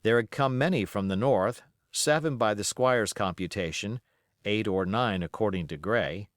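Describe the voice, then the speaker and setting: A man reading literary narration as an audiobook, expressive and measured in his storytelling. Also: lowish voice, no room sound.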